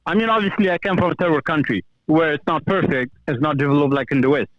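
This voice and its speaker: Afghan accent guy calling in, pretty high voice, muffled lofi audio, earnest, telling his own story. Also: fast, no room sound. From Kabul, Afghanistan. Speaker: call-in guest, former police officer. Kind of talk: personal account in conversation.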